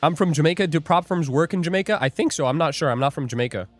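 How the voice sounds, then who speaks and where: A young man hosts a live trading stream, joking, rambling and laughing as he reads out his chat. His voice sits a little high for a man. Faint music plays under the talk. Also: expressive, quick pace. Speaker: livestream day trader host. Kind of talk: casual livestream chat.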